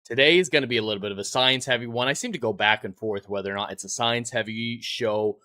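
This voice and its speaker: A man's voice: mid-range voice, fast, pushed and bright. A man in his twenties hosting a livestream podcast, chatting to viewers in an earnest, welcoming, announcer-like way.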